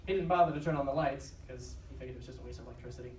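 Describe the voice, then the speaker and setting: A woman's voice: rumbling low, rapid-fire, darkish tone, slight background noise. Distant, echoey woman narrating conversationally. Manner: conversational, wry asides. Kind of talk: casual scripted narration in a live show. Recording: echoey live stage, distant, music behind.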